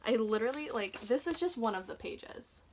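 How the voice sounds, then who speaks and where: A woman's voice: on the high side, expressive, fairly quick. A young woman talking casually in a chatty, self-deprecating way, like a vlogger sharing advice. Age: young adult.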